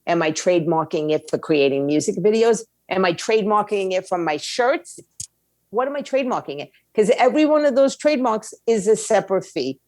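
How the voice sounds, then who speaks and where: Woman explaining stuff as an interview guest, medium pitch, plain-spoken and emphatic. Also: expressive, brisk, darkish tone, dry recording. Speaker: guest, music attorney and indie label owner.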